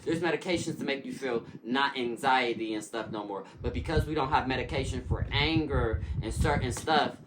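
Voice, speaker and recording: A man's voice: medium pitch, normal speed, hardly any pauses. Earnest man in a noisy interview. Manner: earnest and emphatic, preacher-like repetition. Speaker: interview guest, youth mentor in recovery. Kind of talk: casual interview talk. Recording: noisy recording with clicks.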